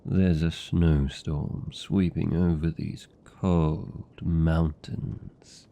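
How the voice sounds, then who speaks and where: A British man playing a seductive, possessive vampire captor in an intimate fantasy ASMR roleplay, teasing and doting in a dark, gravelly murmur. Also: low-pitched, full character voice. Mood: silky, teasing. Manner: slow, teasing, velvety endearments.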